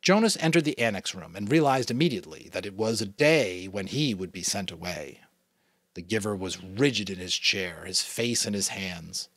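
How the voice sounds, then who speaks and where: A man from New England reading a novel aloud as a father, in a dramatic, expressive narration style. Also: sits low, normal speed, husky, dry recording. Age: adult, a father. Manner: expressive, varied delivery. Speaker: audiobook reader.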